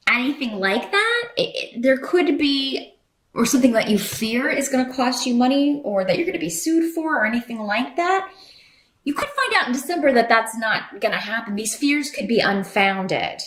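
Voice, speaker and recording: A woman's voice: fairly high pitch, animated, normal speed, fairly bright tone. A woman giving an unscripted tarot reading to viewers in a conversational, rambling, reassuring way. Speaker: tarot reader and channel host. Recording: roomy echo.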